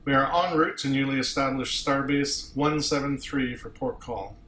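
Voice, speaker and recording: Proud, sardonic starship captain, read by a man, formal delivery, medium pitch, roomy sound, amateur sci-fi drama. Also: steady tone, moderate pace, a bit bright, natural voice. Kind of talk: amateur table read of TV script. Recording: echoey room with faint noise.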